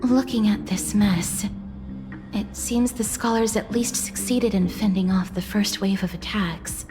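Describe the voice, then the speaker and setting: A woman playing a serious, observant warrior in a video game, speaking in a grave, formal and deliberate manner. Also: mid-range voice, over music, lightly acted. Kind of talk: dramatic video game voice acting.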